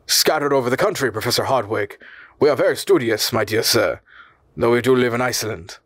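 A man acts out a courteous, learned male professor in a storybook reading, proud of his country. He speaks formally and earnestly, pitched a little above a middle male voice, in a dry recording. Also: brisk, fairly bright tone, husky, no room sound.

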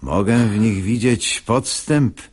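An actor playing a sly, hypocritical pious seducer in a radio verse comedy, with an unctuous, persuasive theatrical delivery. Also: male voice, on the low side, takes it slowly, darkish tone, husky. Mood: smooth persuasion. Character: sly hypocritical pious seducer, smooth-talking male schemer. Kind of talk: classical stage comedy radio acting in verse.

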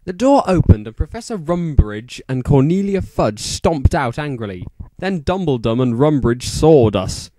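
A man reads a story aloud in a theatrical, exaggerated style. His voice sits a little high and swings widely in pitch, in a close, dry recording. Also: sing-song, measured pace, dark tone, no room sound. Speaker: narrator reading fan fiction. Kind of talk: theatrical dramatic reading.

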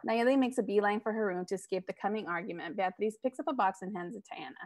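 A woman's voice: medium pitch, fast talker, darkish tone, no room sound. A young adult woman narrating screenplay stage directions at a live table read from home. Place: living room at home, on a video call.

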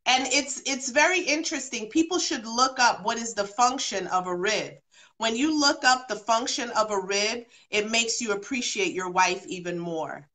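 A woman's voice: a little high, expressive, normal speed, bright, forceful tone. A woman born in London and raised partly in Jamaica and New York, a guest on a talk show, speaking earnestly and repeating phrases for emphasis over a video call. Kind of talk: casual talk-show chat.

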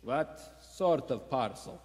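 A man's voice: medium pitch, expressive, takes it slowly, a little mellow. A man playing a nervous, reluctant saboteur who stammers and hesitates in a radio play. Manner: stammering, throat-clearing, hesitant. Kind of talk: vintage radio play acting. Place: theater stage before a live audience. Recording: echoey theater stage.

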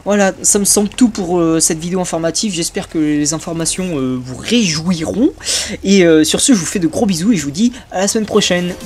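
Hesitant young man, slightly high voice. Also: a little fast, a little mellow. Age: young adult. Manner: hesitant, many filler sounds. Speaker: YouTube gaming channel host. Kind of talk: casual channel update voice-over.

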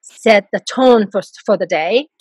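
A middle-aged woman from Japan with a Japanese accent, speaking softly as a podcast guest and laughing often as she talks. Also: medium pitch, measured pace, husky, no room sound. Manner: soft-spoken, laughs often while talking. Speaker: guest, life coach. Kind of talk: podcast interview.

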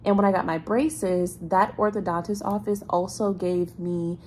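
A woman's voice: fairly low pitch, normal speed, slight background noise. Chatty young woman vlogging at home. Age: 20s. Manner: chatty, animated storytelling with laughs. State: congested. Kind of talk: casual vlog chat to camera. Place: bright apartment room with ceiling fan. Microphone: phone camera held low, close.

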